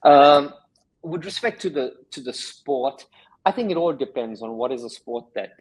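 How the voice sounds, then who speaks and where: A man's voice: fairly high pitch, lively intonation, moderate pace, breathy edge, low-quality recording. A man who works as a sports scientist and performance coach, answering interview questions in a reflective way and explaining with examples.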